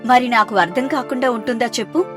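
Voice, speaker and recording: A woman voicing a kind, reassuring young sister-in-law in an animated family story, with music behind. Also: fairly high pitch, brisk, natural voice. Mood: warm, curious.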